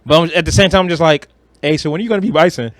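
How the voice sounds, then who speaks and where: A man co-hosting a podcast, chatting casually about films in a blunt, animated, slangy way with some swearing. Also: on the high side, racing, no room sound. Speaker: podcast co-host.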